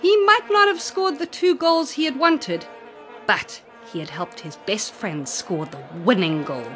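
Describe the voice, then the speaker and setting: A woman's voice: high voice, a little slow, fairly bright tone, faint music behind. A woman storyteller narrating a children's story expressively, with dramatic emphasis.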